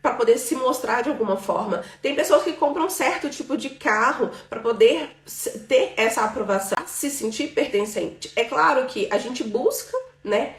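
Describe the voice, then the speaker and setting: A woman's voice: fairly high pitch, expressive, normal speed, recorded from a distance. Chatty Brazilian woman teaching, roomy. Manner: talkative, filler-heavy, checks in with "tá bom?" and "né?". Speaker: financial mentor teaching a live class. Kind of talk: informal live lesson. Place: room with a live audience present. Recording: roomy, from off the mic.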